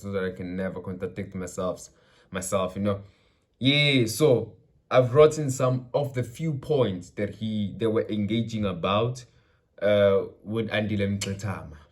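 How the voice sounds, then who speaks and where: Low-voiced South African man rambling. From South Africa, KwaZulu-Natal. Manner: loose, rambling, mixes in Zulu phrases. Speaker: channel host. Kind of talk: casual political commentary vlog.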